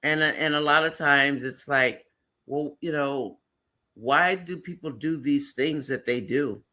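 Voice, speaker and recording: A man's voice: a little high, takes it slowly, sharp tone. A man hosting a live stream, chatting casually and rambling with frequent fillers.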